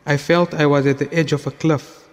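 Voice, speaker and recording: A man's voice: a little high, steady tone, moderate pace, big-room sound, lightly acted. A young man playing an earnest teenage son. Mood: reflective. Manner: earnest, reflective in narration. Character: teenage son Osman, brother and reflective narrator. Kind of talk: audio drama acting and narration.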